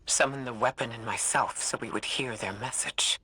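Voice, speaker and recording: Determined game ally; raspy and very bright, earnest and grave. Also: male voice, medium pitch, normal speed. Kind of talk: dramatic video game acting.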